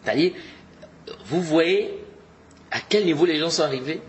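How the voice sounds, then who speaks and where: A man's voice: a little high, lively intonation, normal speed, a little hiss or hum. A man giving an informal religious lesson, exhorting his listeners and often repeating his points with Arabic religious terms mixed in. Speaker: religious teacher.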